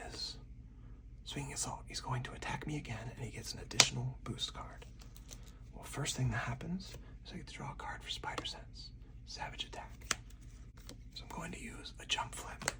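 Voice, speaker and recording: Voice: mid-range voice, recorded from a distance. Someone speaking in an unvoiced whisper, narrating each move of a solo card game step by step as ASMR commentary. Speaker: host of a solo tabletop game channel.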